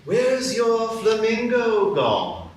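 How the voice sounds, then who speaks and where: A sly, slow-talking Cheshire Cat. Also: male voice, sits high, wildly swooping pitch, distant mic, slight background noise, exaggerated voice. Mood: teasing. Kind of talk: live radio play acting. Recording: roomy live stage sound from afar.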